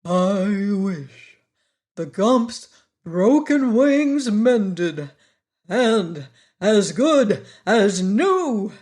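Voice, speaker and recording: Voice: mid-range voice, very animated. A narrator doing a pompous lecturing insect. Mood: grandly. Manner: pompous, lecturing, slow and loud. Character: pompous, highly educated giant insect.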